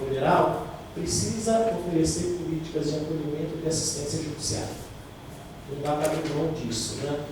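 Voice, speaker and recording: A man's voice: on the high side, a little mellow. A man answering students' questions, explaining at length and often checking in with tag questions. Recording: echoey room, mic far off, faint noise.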